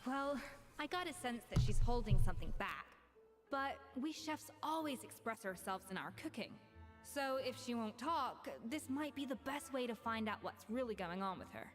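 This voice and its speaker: A woman's voice: on the high side, animated, in a large hall, soft background music, natural voice. A woman playing a composed master chef. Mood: thoughtful. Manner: measured, thoughtful, dry. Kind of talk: video game voice acting.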